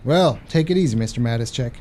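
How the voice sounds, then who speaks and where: Guy acting easygoing friendly cop in a radio play, mellow dark voice. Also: mid-range voice, animated, quick pace, lightly acted. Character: friendly male neighborhood police officer, easygoing. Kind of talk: old-time radio theatre acting.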